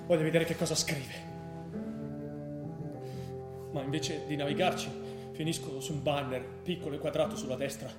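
Man acting a troubled young student, high-pitched, roomy sound. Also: steady tone, normal speed, long pauses, lightly acted. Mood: dazed, searching. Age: young adult. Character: Davide, troubled restless young male student. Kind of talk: stage monologue and dialogue in radio theatre. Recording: roomy theatre sound with music behind. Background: music.

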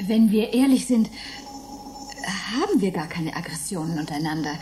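An actress as a dark-toned, dreamy woman. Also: fairly low pitch, expressive, normal speed, slight background noise, lightly acted. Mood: candid. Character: dreamy, comfort-loving woman colonist. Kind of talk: science fiction radio play acting.

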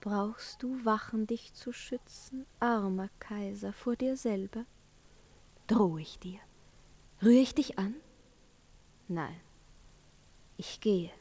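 A woman playing a seductive witch and spurned lover in a theatrical verse radio play, breathy and often whispering. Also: medium pitch, dark tone. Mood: mocking. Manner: slow, incantatory, yearning delivery. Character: seductive witch, the emperor's jilted lover, pleading and taunting.

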